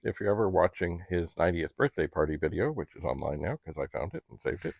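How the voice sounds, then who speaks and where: A man's voice: deep voice, lively intonation, fast talker, creaky, no echo. A middle-aged man talking casually to camera in a rambling, chatty, politely old-fashioned way, as a home vlogger. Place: basement at home.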